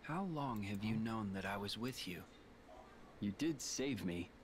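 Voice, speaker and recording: A man's voice: on the low side, lively intonation, measured pace, a little hiss or hum. An actor playing an earnest, weary young male hero in a reflective video game drama. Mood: subdued, reflective.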